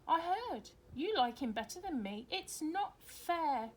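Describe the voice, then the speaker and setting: A woman's voice: sits high, very animated, slow, a little hiss or hum, voice pushed up, heavily acted. A woman voicing a demanding, sulky young girl in a children's storybook, insistent and whiny.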